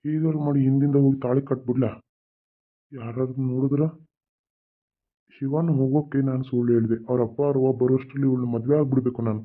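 A man's voice: medium pitch, fairly even intonation, fast, long pauses, natural voice. A man voicing a scheming adult male village villain who mocks and threatens. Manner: sneering, mocking, menacing. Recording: tinny phone-like sound.

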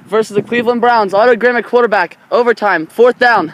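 A teenage boy playing an excited play-by-play announcer calling the action. His voice is very high and sharp, with faint outdoor noise behind it. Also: poor audio. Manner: rapid play-by-play, rising excitement.

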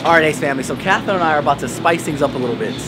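Brief: young male vlog host, quick and hyped, talking straight to fans over loud music in a restaurant. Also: a little high. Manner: upbeat, hyped, addressing fans directly. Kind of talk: casual vlog talk to camera.

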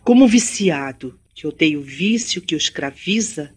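A woman's voice: deep voice, animated, normal speed, husky. Radio drama: an articulate young city woman, frank and kind, formal diction. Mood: reflective, earnest. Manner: formal, carefully enunciated speech. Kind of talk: Brazilian radio drama acting.

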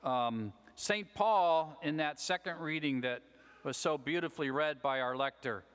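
A man's voice: fairly high pitch, a little slow, fairly bright tone, smooth. An American man in his sixties giving a homily in a conversational way, often checking in with "right?". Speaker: deacon preaching. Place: large church, at the ambo. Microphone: gooseneck lectern microphone. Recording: long church reverb over PA.

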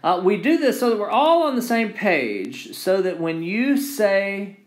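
A man's voice: high-pitched, sing-song, unhurried. A man giving an informal engineering lecture, repeating key phrases for emphasis and often checking in with "right?". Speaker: engineering instructor. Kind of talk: informal lecture with handwritten notes.

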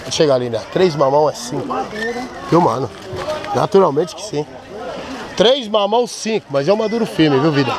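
A fairly high-voiced Brazilian man chants his fruit prices over and over in a market-stall patter. He keeps repeating short slogans to passing shoppers. Loud crowd chatter fills the open-air market around him. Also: expressive, fairly quick, long pauses. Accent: Brazilian Portuguese. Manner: chanting, repetitive sing-song hawking. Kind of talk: street market sales patter. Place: busy outdoor street market under awnings.

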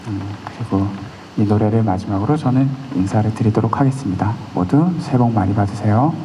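A thirty-year-old male singer talking softly and shyly to a concert audience between songs, on a handheld stage microphone. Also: lowish voice, brisk, background noise. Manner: soft-spoken, shy and playful with the audience. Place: large concert hall, on stage. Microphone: handheld stage mic. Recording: concert hall with long reverb, music behind.

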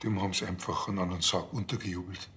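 A man's voice: low-pitched, fairly even intonation, very fast, fairly bright tone. An actor playing a stubborn, grumbling small-town policeman with a Bavarian accent and a contrary, colloquial manner in a TV crime comedy. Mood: suspicious. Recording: noisy ambience behind dialogue.

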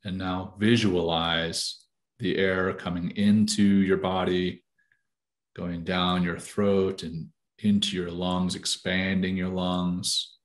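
A man with a low voice leads a guided meditation in a calm, soothing manner. He speaks slowly and deliberately, keeping his intonation fairly even as he gives each instruction.

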